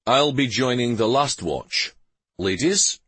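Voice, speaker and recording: A man voicing a stern, protective warrior officer, brisk and firm, in an audiobook story. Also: mid-range voice, expressive, fairly bright tone, breathy edge, dry recording, voice pushed up, heavily acted. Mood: brisk, commanding.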